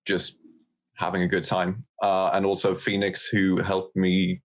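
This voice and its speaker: A man with a non-American accent recounting things casually and haltingly as a podcast guest. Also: low voice, monotone.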